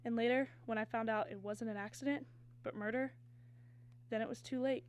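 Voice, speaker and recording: A woman's voice: fairly high pitch, fairly even intonation, brisk, natural voice. An actress playing a haunted, secretive woman witness, urgently confessing in 1950s-style radio drama narration. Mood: anguished, confessional.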